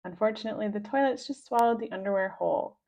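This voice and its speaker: A woman reading aloud a children's story with expressive scripted narration, on a slightly dull recording. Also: mid-range voice, fast talker, creaky. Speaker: storybook reader.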